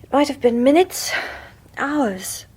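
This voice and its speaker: An actress playing a haunted young mother in a radio horror drama, speaking hesitantly in a hushed, husky voice. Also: sits high, expressive, takes it slowly, low-quality recording. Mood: haunted, uneasy. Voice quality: breathy, husky. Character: anxious young mother, haunted and unsettled by her husband.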